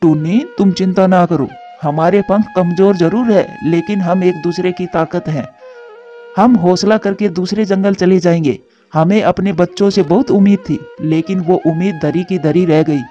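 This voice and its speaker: A man plays a weary old cartoon father bird, mellow and unusually high for a male. He laments plaintively, full of disappointment. The audio is dull and lo-fi, with music beneath. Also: steady tone, normal speed, close to an everyday voice. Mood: sad resolve. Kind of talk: Hindi cartoon voice acting.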